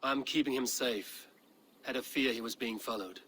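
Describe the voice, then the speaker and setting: An actor playing a steady, watchful Viking warrior with a terse, measured delivery in a video game cutscene. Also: male voice, medium pitch, slight background noise, lightly acted. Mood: guarded.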